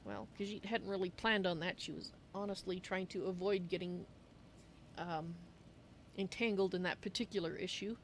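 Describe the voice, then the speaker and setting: A woman's voice: on the low side, moderate pace, slight background noise, natural voice. A woman voicing a polite, hesitant cat-folk adventurer from a fantasy game, with a wry manner. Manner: speaks of herself in third person, hesitant, wry.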